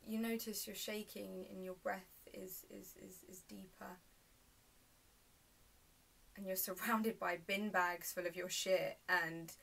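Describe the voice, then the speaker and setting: A narrator confides painful memories in a halting, understated way, acted by a woman in a stage monologue. The delivery is naturalistic, with a creaky edge to the voice. It is picked up from some distance off the microphone. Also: medium pitch, a bit bright, a little hiss or hum. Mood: shaken, upset. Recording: roomy self-tape, mic at a distance.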